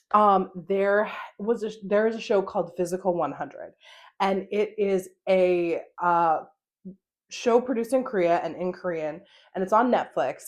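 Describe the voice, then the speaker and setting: Chatty, animated American woman podcaster. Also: mid-range voice. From United States. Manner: chatty, animated, with frequent laughs and fillers. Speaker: podcast host. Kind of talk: solo casual podcast monologue.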